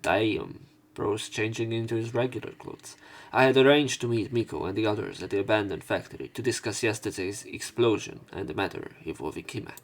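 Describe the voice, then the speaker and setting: Low-voiced man narrating a game casually. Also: a little fast. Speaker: let's play commentator. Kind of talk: reading game text aloud with casual commentary. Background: soft game music.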